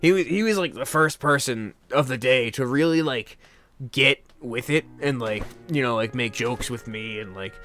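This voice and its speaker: A young man casually telling rambling anecdotes in a halting way, repeating words and often chuckling. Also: medium pitch, a bit bright.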